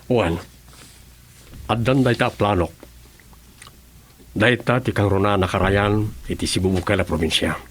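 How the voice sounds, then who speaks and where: A troubled official in a Filipino radio serial. His voice is low and rough-edged, and he talks in a serious, deliberate way. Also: a bit bright. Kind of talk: radio drama acting.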